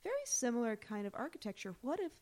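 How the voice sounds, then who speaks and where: Giggly, higher-pitched woman co-hosting a podcast. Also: expressive, fast, darkish tone. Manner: giggly, jokey, informal. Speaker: podcast co-host. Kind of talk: casual TV recap banter.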